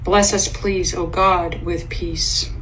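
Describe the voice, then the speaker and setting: A middle-aged woman reading an interfaith prayer slowly and reverently. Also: on the low side, fairly even intonation, a bit bright, slight background noise, poor audio. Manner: slow, reverent, measured.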